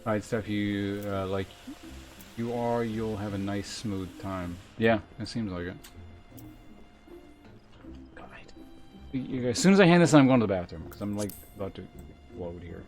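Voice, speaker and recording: A man's voice: on the low side, normal speed, pauses often, darkish tone, soft background music. A man doing casual gameplay commentary as a streamer, muttering offhandedly to himself and his chat. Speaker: game streamer.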